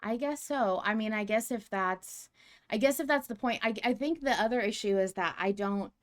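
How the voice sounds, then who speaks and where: A young woman hosting a podcast, talking in a casual, thinking-aloud way with frequent restarts. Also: medium pitch. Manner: hesitant, many restarts, reads listener comments aloud. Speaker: podcast host. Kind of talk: casual analytical discussion.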